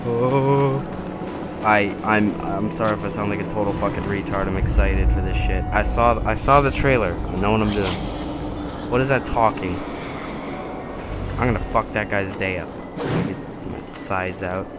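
A man with a nasal voice and a cold, commenting casually and excitedly on his gameplay, swearing often. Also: fairly low pitch, normal speed, heavy background noise. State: has a cold. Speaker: gamer. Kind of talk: casual let's play commentary. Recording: loud game music behind.

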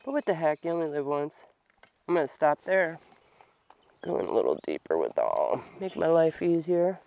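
A young woman talking casually to herself while she works at a grassy campsite, slow and thinking aloud, a little congested from a long cold. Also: sits low, very animated, vocal fry, mellow. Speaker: bushcraft vlogger. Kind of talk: casual talk while working. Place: outdoors at a grassy campsite under a tarp. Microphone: camera on a tripod a few meters away.

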